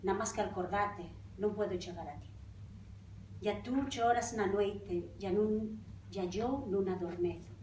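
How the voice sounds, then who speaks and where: A woman reading a poem aloud at a public cultural event, tender and deliberate. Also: mid-range voice, a little mellow, slight background noise. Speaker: poetry reader. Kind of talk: poetry recitation. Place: cultural center hall with an audience. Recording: echoey hall from far off.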